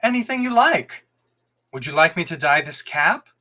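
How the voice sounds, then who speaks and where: A man's voice: fairly high pitch, very animated, moderate pace, bright, forceful tone, pitched above the performer's own voice, full character voice. A man voicing a cheerful, eager, courteous young fox cub disguised as a shop boy in a storybook reading.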